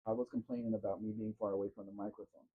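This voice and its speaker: A man's voice: lowish voice, flat delivery, rapid-fire, narrow, tinny audio, slight background noise. A man hosting a casual live question-and-answer stream, chatting loosely and answering viewers by name. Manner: chatty, answers chat by name, wanders while talking. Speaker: livestream host.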